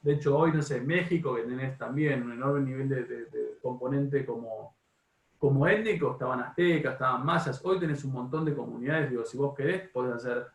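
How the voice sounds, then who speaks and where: A man with an Argentine accent leading an informal class discussion as a teacher, often halting, repeating and rephrasing as he goes. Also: mid-range voice, gravelly. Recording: echoey room, distant from microphone.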